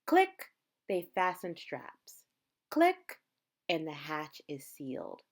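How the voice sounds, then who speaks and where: A woman reading a picture book aloud like a teacher, in measured, dramatic phrases with emphasized words. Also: sits low, huge pitch swings. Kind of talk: read-aloud storytelling.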